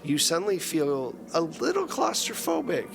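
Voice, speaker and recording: A man's voice: fairly high pitch, huge pitch swings, moderate pace, vocal fry. A man narrating a tabletop game as game master in a casual, conversational way.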